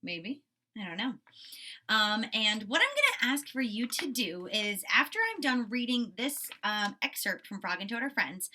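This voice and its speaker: Female primary teacher: bright, fairly high voice, emphatic and instructive, a little off-mic. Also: recorded from a distance. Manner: instructive, emphatic key words, frequent check-in "right?". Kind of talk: children's lesson and storybook read-aloud.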